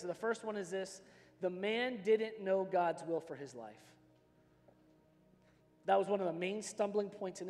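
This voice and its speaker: High-pitched American male preacher in a hall. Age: middle-aged. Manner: emphatic, dramatic swings from hushed to shouting. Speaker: pastor preaching. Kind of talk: impassioned sermon with personal storytelling. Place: large church stage indoors. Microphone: worn microphone, hands free. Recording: reverberant large hall sound system.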